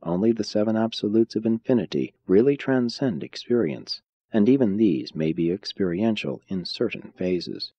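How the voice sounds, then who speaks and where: A man reading an audiobook of philosophical religious text in a measured, solemn, deliberate way. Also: fairly low pitch, no room sound. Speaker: audiobook narrator. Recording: dull, muffled lo-fi audio.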